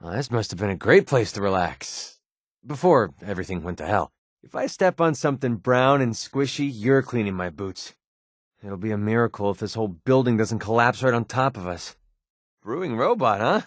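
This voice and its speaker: An actor playing a sardonic young American mercenary sniper in a video game, with a casual, wisecracking delivery. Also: male voice, on the low side, expressive, moderate pace, no room sound, clean studio sound. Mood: sarcastic, joking. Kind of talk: video game companion voice lines.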